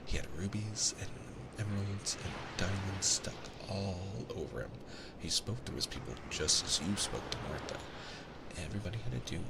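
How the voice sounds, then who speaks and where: A man's voice: deep voice, normal speed, gravelly, over loud music, voice pushed up, low-quality recording. A man voicing a candid, earnest and observant young girl in a bedtime storybook reading. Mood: candid, reflective. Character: frank, observant young girl, quietly self-assured. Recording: constant loud ocean-wave ambience behind voice.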